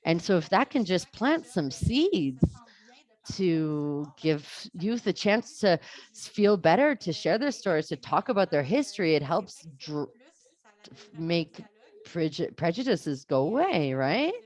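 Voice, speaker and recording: A woman's voice: lowish voice, unhurried. A woman, a teacher earnestly sharing classroom stories in a webinar talk, often restarting her sentences. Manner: earnest, animated, frequent restarts.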